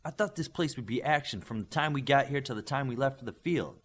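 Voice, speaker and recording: A man's voice: mid-range voice, fairly quick, fairly bright tone, slightly rough, pitched above the performer's own voice. A man voicing a restless, cocky young male superhero in audiobook dialogue, impatient and daring.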